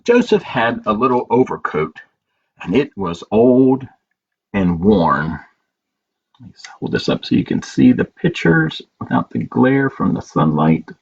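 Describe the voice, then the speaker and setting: A man hosting a storytime as a librarian, reading picture books aloud in measured phrases with pauses and informal asides. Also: medium pitch, sing-song, darkish tone. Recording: dull lo-fi audio with clear background noise.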